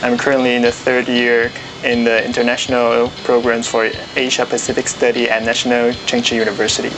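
A man from Taiwan, a student speaking in a measured way in an interview, recorded indoors on a lapel mic. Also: medium pitch, completely flat delivery, background noise. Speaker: doctoral student. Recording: music bed behind voice.

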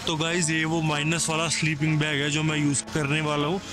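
A man's voice: fairly high pitch, completely flat delivery, a little fast, music in the background. A young man chatting to viewers as a travel vlogger at a cold outdoor campsite. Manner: chatty, addresses viewers directly. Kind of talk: casual vlog narration.

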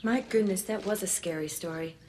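A middle-aged woman acting in a film as a polite, matter-of-fact suburban lady who calmly plans to cook a boy. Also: mid-range voice, fairly quick, lightly acted. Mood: politely condescending. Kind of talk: film acting, understated menace. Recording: film soundtrack with faint noise.

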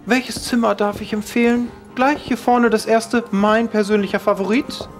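A man's voice: high voice, level tone, moderate pace, faint music behind. A man voicing a courteous, formal manservant in a horror roleplay audio drama. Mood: polite. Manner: courteous, servile. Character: obliging, formal manservant of a manor.

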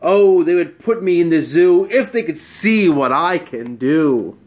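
A man's voice: fairly high pitch, lively intonation, unhurried, darkish tone. A man voicing an eager spotted animal in a storybook, boastful and pleading as it shows off. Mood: proudly showing off. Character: eager spotted animal showing off magic tricks. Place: small bright room at home.

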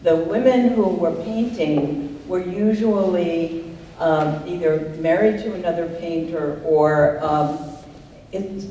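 An older woman speaks slowly and reflectively, with frequent laughter. Her voice comes from a distance in an echoey talk room with a long reverb tail. Faint room noise sits underneath. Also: low-pitched, warm tone. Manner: unhurried, reflective, laughs often. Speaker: panel guest, writer. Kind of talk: panel discussion answering audience. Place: art center talk room, audience present.

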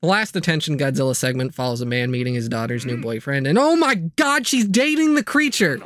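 A man's voice: medium pitch, very animated, a little fast, fairly bright tone. A man delivering a casual, comedic scripted video review, with jokey asides and playful exaggeration.

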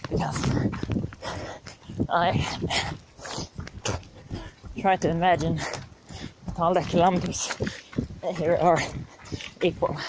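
A man with a fairly high voice, talking into a handheld phone while out on a run in the woods. He is out of breath and gets his words out in short bursts between strides. Loud wind noise rushes across the phone mic. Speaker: vlogger. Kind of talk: casual vlog while running. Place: wooded trail outdoors. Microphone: handheld phone camera, close.

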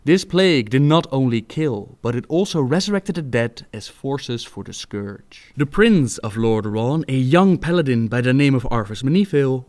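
A man's voice: mid-range voice, darkish tone, no echo. A man giving scripted narration of fantasy game lore as a storyteller.

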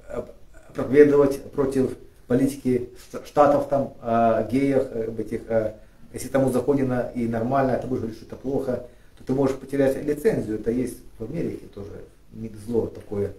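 A middle-aged man from Ukraine preaching a sermon, halting with fillers and restarts, rising and emphatic when he reads scripture aloud. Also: medium pitch, steady tone, dark tone. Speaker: pastor. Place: church studio with flags and lit cross. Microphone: far camera. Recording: echoey room, voice from a distance.

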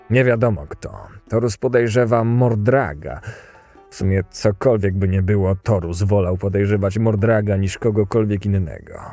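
Man playing a low-voiced, cynical camp boss. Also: gravelly, warm tone, full character voice. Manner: dry, detached, curt. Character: cool, cynical camp boss telling his own story. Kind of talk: first-person dramatic narration in fan audio drama.

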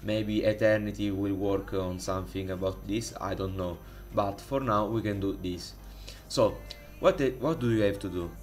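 A man with an Italian accent, speaking English as a second language, walks through a modding tutorial in a halting way, with many fillers and restarts, recorded on a desktop microphone. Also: fairly low pitch, fairly even intonation. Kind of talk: casual screen-recorded tutorial. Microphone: desktop USB microphone.

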